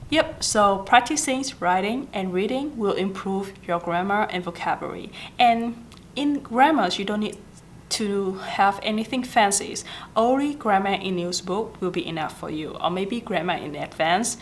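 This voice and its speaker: Young woman, Vietnamese accent, chatty advice, fillers and restarts, faint rumble in the room. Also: expressive, normal speed. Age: 20s. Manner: chatty, gestures often, frequent fillers and self-corrections. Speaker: vlogger giving language-learning tips. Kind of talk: casual talking-head advice. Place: small indoor office with a plant.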